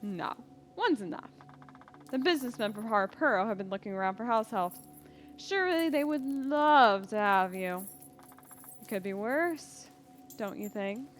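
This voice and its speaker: A woman voicing a cruel, gloating male slave trader in a fantasy audio drama, speaking in a mocking low drawl. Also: lively intonation, unhurried, long pauses, a little hiss or hum.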